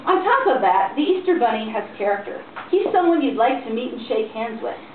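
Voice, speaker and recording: A woman's voice: on the high side, sing-song, fairly quick, far from the mic. A woman giving a theatrical dramatic reading of a humorous story at a live cafe event. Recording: tinny, echoey, noisy room recording from afar. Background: audience laughter, room noise.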